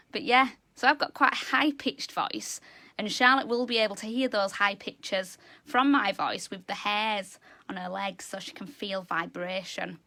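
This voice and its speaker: A woman with a loud, high-pitched voice, chatting enthusiastically as a zoo educator teaching children. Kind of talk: live educational animal talk.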